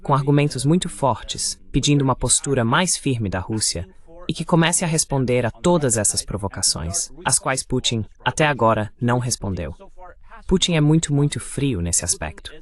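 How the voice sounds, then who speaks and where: A man's voice: mid-range voice, expressive, normal speed. A man delivering political commentary as the translated voice of an interview guest. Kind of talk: Portuguese voice-over of political commentary.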